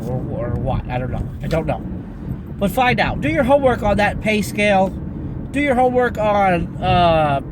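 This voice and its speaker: A truck driver giving casual, repetitive advice in a vlog, sounding stuffy and nasal from a cold, with plenty of "you know" along the way. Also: male voice, high-pitched. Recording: loud vehicle noise behind.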